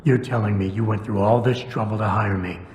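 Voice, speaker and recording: An actor playing a terse, hard-boiled undercover investigator with a dry, deadpan manner in a video game cutscene. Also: male voice, fairly low pitch, a little fast, lightly acted. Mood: dry, skeptical. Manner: deadpan, clipped. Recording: echoey with faint background noise.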